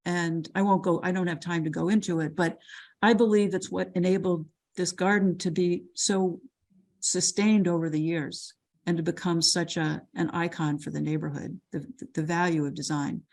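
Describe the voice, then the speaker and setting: A woman's voice: fairly low pitch, fairly even intonation, moderate pace, a little mellow. An older woman lecturer answering a question on a video call, thoughtful and full of restarts and repeated words. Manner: thoughtful, with frequent restarts and repetitions.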